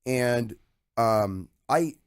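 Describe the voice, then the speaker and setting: Male podcast host, average pitch, dry and echo-free, informal conversational delivery, works out thoughts aloud. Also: expressive, drawn-out words, smooth. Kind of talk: casual conversational discussion.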